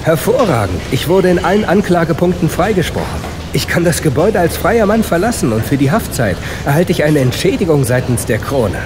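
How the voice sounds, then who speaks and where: A man acting a polite, grateful, freshly freed man in a German audio drama, courteous and measured. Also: on the high side, very animated, over music. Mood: relieved, grateful.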